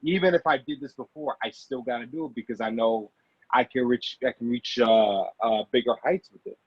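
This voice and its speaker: Low-pitched man in a dull recording. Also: breathy edge, no room sound. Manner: halting, repeats phrases for emphasis. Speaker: guest actor being interviewed. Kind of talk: casual interview chat. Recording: dull, muffled lo-fi audio.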